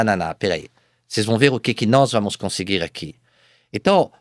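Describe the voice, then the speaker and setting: A man speaking Portuguese as a second language, a guest telling his business story in a halting way, with many repeated false starts. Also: medium pitch, no room sound, clean studio sound. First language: not Portuguese. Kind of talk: casual interview storytelling.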